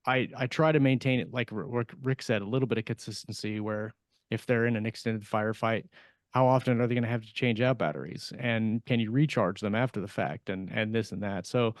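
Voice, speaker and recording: A man talking casually on a panel as an author, halting and repeating words as he thinks aloud. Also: on the low side, fairly even intonation, no echo, clean studio sound. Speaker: science fiction author on a panel podcast.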